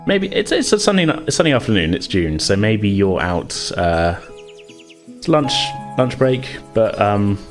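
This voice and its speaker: A man hosting as game master, narrating a tabletop roleplaying game in a measured way. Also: on the low side, sing-song, music in the background. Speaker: game master, podcast host.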